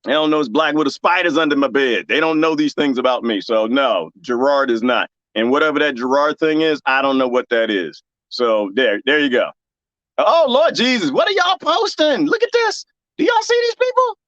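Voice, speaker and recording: A man's voice: a little high, moderate pace, dry recording, dull audio. A man with a Texas accent chatting casually with his viewers while gardening, joking and laughing often. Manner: animated and joking, laughs often, talks straight to his chat. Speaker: livestream host reading viewer comments. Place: garden, planting cuttings.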